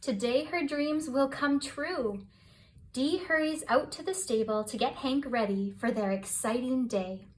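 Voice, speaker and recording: A woman reading a children's picture book aloud as scripted bedtime narration, with expressive, deliberate phrasing. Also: high-pitched, poor audio. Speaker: children's storybook reader.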